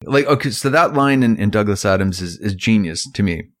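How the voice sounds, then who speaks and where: A man's voice: on the low side, lively intonation, a little fast, fairly bright tone. A man co-hosting a podcast, talking casually with an enthusiastic, admiring manner. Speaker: podcast co-host.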